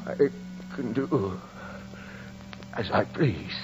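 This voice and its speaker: An actor playing a haughty, vengeful young aristocrat in old radio horror drama, with deliberate, theatrical diction. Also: male voice, medium pitch. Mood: vengeful, menacing. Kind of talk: 1940s-50s radio horror drama acting. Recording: muffled old-time radio recording with music.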